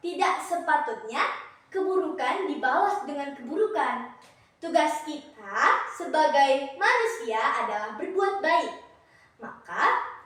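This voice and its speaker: A teenage girl, telling a children's story in a deliberate, theatrical, declamatory way. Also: high-pitched, sharp tone. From Indonesia. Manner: deliberate, declamatory, addresses listeners directly. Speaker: student storyteller in a contest. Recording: very echoey room, distant mic.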